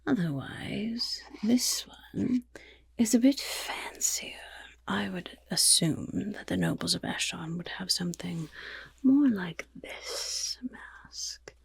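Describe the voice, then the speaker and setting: Woman playing a husky whispering goblin rogue, very creaky. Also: fairly low pitch, lively intonation, no echo, full character voice. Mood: admiring, musing. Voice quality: breathy, raspy whisper. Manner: unhurried, genteel phrasing, gently encouraging. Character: friendly female goblin rogue, chatty heist outfitter. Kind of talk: ASMR fantasy roleplay.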